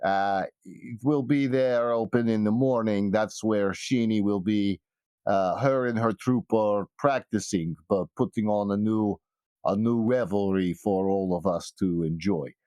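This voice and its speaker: A man voicing a polite fixer in a tabletop game, speaking formally and hesitantly in measured phrases. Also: on the low side, darkish tone, clean tone, no echo, pitched below the performer's own voice, close to an everyday voice. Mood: measured, courteous. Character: polite, thick-accented male fixer who spells out names.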